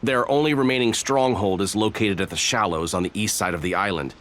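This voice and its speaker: Deep, gruff veteran soldier played by a man. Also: fairly quick, fairly bright tone, lightly acted. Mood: matter-of-fact. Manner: matter-of-fact, military. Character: gruff, plain-spoken male veteran special-ops soldier. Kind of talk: video game voice acting. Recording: faint noise behind the voice.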